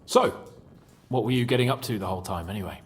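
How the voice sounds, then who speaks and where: An actor playing a cocky young nobleman in a video game, lively and quick to take offence. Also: on the low side, soft background music, natural voice. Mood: sheepish banter. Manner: lively, indignant, shouts when riled.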